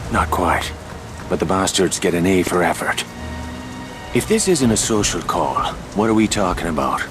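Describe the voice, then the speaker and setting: An actor playing a rough, irreverent Irish saboteur in a video game, blunt and sardonic. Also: male voice, low voice, lively intonation, moderate pace, over music. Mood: gruff, defiant. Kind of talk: video game cutscene acting.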